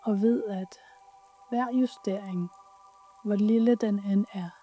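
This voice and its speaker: Very mellow, soft woman guiding relaxation. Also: medium pitch, steady tone, faint music behind. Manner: soothing, soft, unhurried with pauses. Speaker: yoga nidra guide. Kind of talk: guided meditation, relaxation.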